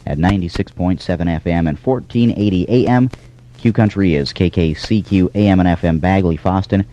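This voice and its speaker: A man's voice: sits low, a little fast. A man working as a country radio announcer, reading a station identification. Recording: dull radio aircheck, faint music.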